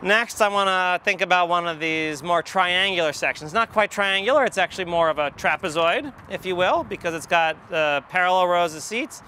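A man's voice: sits high, moderate pace, few pauses, bright, forceful tone. A man in his forties with an American accent, teaching step by step and thinking aloud as he explains. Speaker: math educator. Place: outdoors in an empty football stadium. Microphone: lapel. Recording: outdoor ambient noise, distant traffic.

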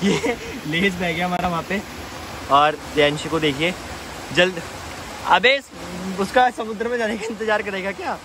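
A man's voice: high-pitched, moderate pace, noisy background. A young man in his twenties vlogging outdoors on a hillside trail, joking and teasing his friends into a phone held at arm's length. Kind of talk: casual travel vlog.

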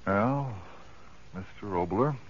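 A man's voice: fairly low pitch, slow, a little hiss or hum. A man announcing and reading advertisements in a 1940s radio style, as a host. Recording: muffled 1940s radio broadcast.

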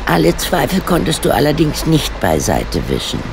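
An actress playing a shrewd, bossy older lady detective in a German radio play, with a gravelly voice and formal, self-assured, genteel phrasing. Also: sits low, huge pitch swings, normal speed, over music, full character voice. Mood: composed, decided. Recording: clear vehicle and ambience noise.

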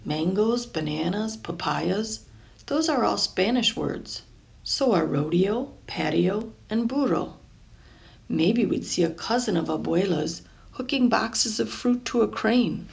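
Creaky-voiced woman reading a picture book. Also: mid-range voice, animated, slow pace, a little mellow. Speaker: storybook reader.

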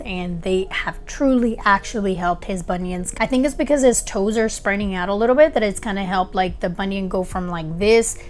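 Young woman chatting thru a shoe review in her kitchen, upbeat, mid pitch, talks with her hands. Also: a little hiss or hum. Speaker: vlogger reviewing shoes. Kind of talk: casual product review. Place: home kitchen, indoors.

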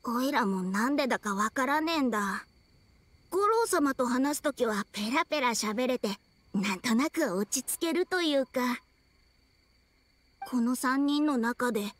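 A woman's voice: sits high, animated, measured pace, dry recording. A woman voicing a timid young kappa boy in a game, meek, hesitant and apologetic. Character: timid young male kappa, small water creature.

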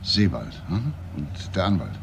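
An actor playing a composed, persistent police detective in a crime radio play, asking probing, deliberate questions. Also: male voice, low-pitched, very animated, creaky, exaggerated voice. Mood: matter-of-fact. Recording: faint background noise.

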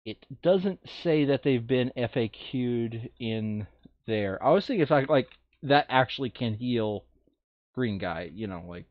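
A man's voice: medium pitch, animated, a little slow, no echo. A man playing a board game, talking through his moves and reading cards aloud in a casual, muttering way. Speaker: player in a tabletop board game playthrough. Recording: dull lo-fi close mic.

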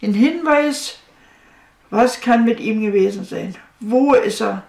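An older woman speaks in a halting, very slow way, giving a personal account. Her voice is fairly high and sounds in an echoey living room. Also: gravelly, a little mellow. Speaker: interviewed mother of a missing man.

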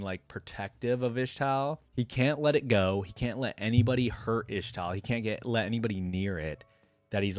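Male, young adult, lowish voice, dry close-mic sound; casual reaction host who reads aloud and riffs informally. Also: dry recording. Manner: informal, filler-heavy, thinking aloud. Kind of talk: casual reaction commentary, reading text aloud. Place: indoor room at a streaming setup. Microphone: close desk microphone.

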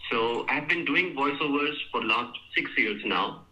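Indian accent guy, voiceover artist, super bright tense voice, hindi native, calling in. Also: medium pitch, animated, measured pace, rough recording. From North India. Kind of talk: introducing himself on a call.

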